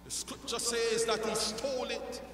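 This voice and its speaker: A middle-aged pastor, a man preaching an impassioned, emphatic sermon with dramatic pauses and repetition at a pulpit microphone in a large echoey church. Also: extremely high pitch, little pitch movement, a bit bright. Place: large church sanctuary, indoors. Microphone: pulpit microphone on a stand. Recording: echoey church hall, long reverb.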